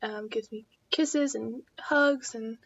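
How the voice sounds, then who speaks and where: A woman's voice: a little high, slow, vocal fry, a bit bright, husky. Chatty young woman, dull lo-fi audio. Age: 20s. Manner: chatty and rambling, full of fillers. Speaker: vlogger. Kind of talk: casual chat answering tag questions. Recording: dull lo-fi home video audio.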